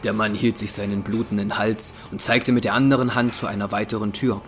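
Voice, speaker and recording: A man's voice: lowish voice, little pitch movement, brisk, a little hiss or hum. A man narrating a horror audio drama from a script.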